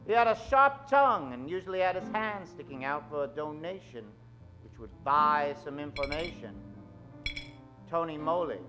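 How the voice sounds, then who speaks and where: A man in middle age narrating an old-time radio drama on stage, suave, with dramatic pauses. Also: fairly high pitch, lively intonation, muffled recording, music in the background. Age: middle-aged. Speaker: host and announcer of a radio show. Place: stage of a small theatre. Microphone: vintage-style stand microphone.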